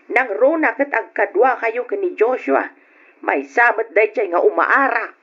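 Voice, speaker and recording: Worried loving mom, middle-aged, highish voice, muffled old radio drama. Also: female voice, lively intonation, measured pace, a bit bright. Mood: tender worry. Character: worried, loving mother of grown children.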